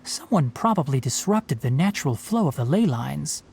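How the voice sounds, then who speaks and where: An actor playing a young fox-eared male forest ranger and scholar in a video game, speaking in a calm, measured, earnest way. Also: on the high side, gravelly, slight background noise. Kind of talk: dubbed game dialogue.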